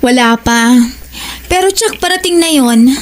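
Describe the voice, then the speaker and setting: A woman's voice: high voice, expressive, unhurried, a little hiss or hum. An actress playing a worried, caring wife and mother in an audio horror drama. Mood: concerned.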